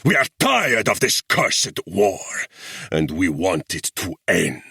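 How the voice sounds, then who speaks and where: A man's voice: on the low side, very animated, a little slow, breathy edge, no echo, studio quality, pitched above the performer's own voice, heavily acted. A man voicing a savage guerrilla leader who growls and thunders, dropping Spanish words into his speech. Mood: raging. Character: savage middle-aged male guerrilla leader, menacing.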